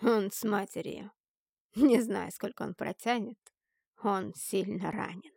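A woman's voice: medium pitch, a little slow, vocal fry, a little mellow, no echo, studio quality. Woman voicing a sneering middle-aged male villain. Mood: mocking.

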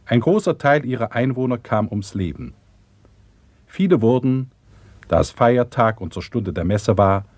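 A man narrates in a low voice recorded very dry. His reading is scripted, sober and factual, with a rough husky grain and a measured manner. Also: darkish tone, dry recording.

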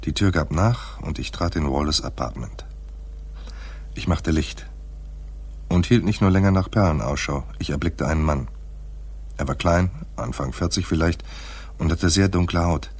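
Hardboiled radio detective, super deep raspy voice, dry and laconic, tells his own case. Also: moderate pace, long pauses. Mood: dry, detached. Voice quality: husky, very deep. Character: hard-boiled male private detective narrating his own case. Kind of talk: noir radio play, first-person narration.

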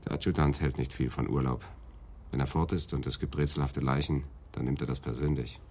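An actor playing a senior police detective in a radio play, pointed and matter-of-fact. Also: male voice, extremely low pitch, steady tone, brisk, darkish tone.

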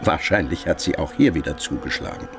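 Reflective, indulgent middle-aged father for radio drama, very deep with fry. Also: lively intonation, brisk, music in the background, exaggerated voice. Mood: calm. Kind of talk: German radio drama acting.

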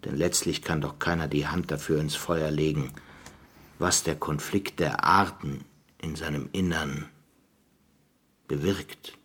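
An actor playing a grave interviewee in a radio drama, speaking formally and rhetorically with conviction. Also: male voice, a bass voice, steady tone, unhurried, pauses often, a bit bright, slightly rough, heavily acted. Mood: earnest. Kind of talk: German radio drama acting.

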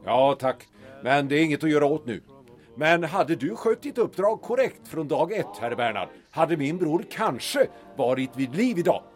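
A haughty city gentleman in a period radio drama. He speaks formally and cuttingly in a fairly high voice that swings freely, with soft music behind. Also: sing-song. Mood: cool, curt. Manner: formal, condescending, theatrical.

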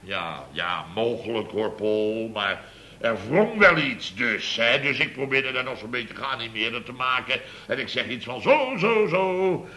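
A man's voice: mid-range voice, expressive, unhurried, bright, forceful tone. An actor playing a blustering, exasperated boss of a building firm in a radio comedy, exclaiming and repeating himself as he tells his tales. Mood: indignant storytelling. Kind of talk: Dutch radio comedy acting, anecdote telling.

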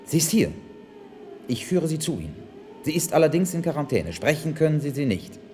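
A man's voice: on the high side, big pitch swings, a little fast, warm tone, a little hiss or hum. An actor playing an alarmed, insistent male official in a German radio play. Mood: tense, evasive.

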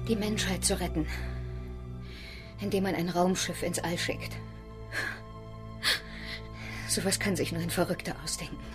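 An actress playing a proud, determined sailor in a radio drama, speaking earnestly with deliberate pauses. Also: female voice, on the low side, steady tone, lightly acted. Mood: resolute, grave. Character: determined, proud woman sailor, recovering from injury. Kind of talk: German radio drama acting. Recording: studio radio drama with music underneath. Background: music.

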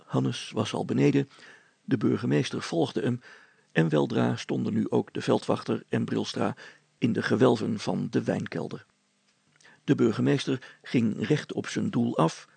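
A man tells a story in a measured, scripted read. His voice is husky with heavy creak. The recording is dry and close, and the words are carefully articulated. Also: mid-range voice, a little mellow, dry recording.

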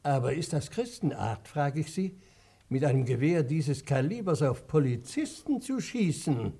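Preachy pastor, highish husky voice, slow and sermonizing, radio drama. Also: male voice, very animated, dark tone, full character voice. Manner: sermonizing, deliberate. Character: preachy, self-justifying male pastor, earnest and flustered. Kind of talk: German radio play acting.

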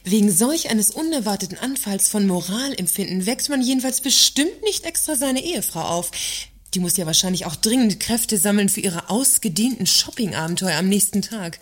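A sharp-tongued female works councillor in a satirical radio play, acted by a woman. Her voice sits at a middle pitch, and she needles with articulate irony and mock politeness. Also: lively intonation, normal speed, lightly acted.

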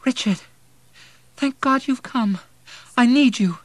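A man voicing a distraught, ailing mother in an audiobook, shaky, breathy and sobbing. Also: very high voice, takes it slowly, pitched above the performer's own voice. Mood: delirious, weak. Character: distraught ailing mother, tearful, weak, desperate. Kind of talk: audiobook character voice.

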